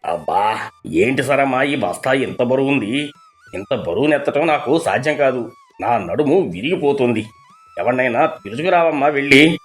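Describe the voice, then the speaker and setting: A man's voice: medium pitch, expressive, moderate pace, lightly acted. A man playing a pompous, self-important village headman in a moral story, exclamatory and grudging, over music. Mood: strained complaint.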